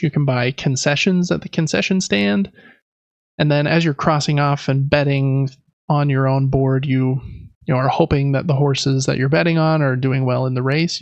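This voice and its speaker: A man's voice: on the high side, creaky, dry recording, lo-fi sound. A man in his thirties talking casually and explaining things as a podcast co-host. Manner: conversational, explanatory. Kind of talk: casual chat about board games.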